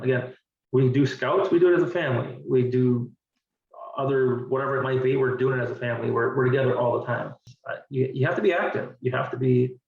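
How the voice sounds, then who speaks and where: A man's voice: mid-range voice, a little hiss or hum. Earnest man in his forties, guest, restarts his sentences; far from the mic in a dull, echoey room. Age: 40s. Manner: earnest, repeats words while thinking. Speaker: interview guest. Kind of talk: candid conversational interview. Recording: distant, roomy and dull-sounding.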